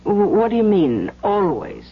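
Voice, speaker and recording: A woman's voice: mid-range voice, sing-song, measured pace, slightly rough. A woman playing an anxious, protective wife and mother in an old radio drama, hesitant and defensive. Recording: muffled vintage radio recording.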